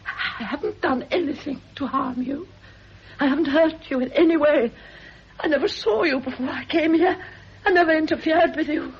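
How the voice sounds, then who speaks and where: A woman's voice: sits high, normal speed. An actress playing a nervous, frightened woman who pleads in a shaky, crying voice. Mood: pleading. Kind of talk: radio drama acting. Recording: dull old radio recording, faint hiss.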